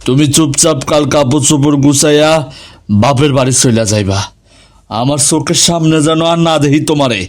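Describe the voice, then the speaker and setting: A man's voice: a little high, a little fast, natural voice. A man with a Bangladeshi Bengali accent voicing a hot-tempered but loyal village husband and elder brother in a melodramatic cartoon. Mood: angry, stern.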